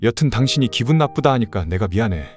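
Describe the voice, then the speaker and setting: A man's voice: medium pitch, lively intonation, fast talker, soft background music, natural voice. A man acting out a meek, apologetic husband pleading with his wife in a radio drama. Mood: apologetic, explaining.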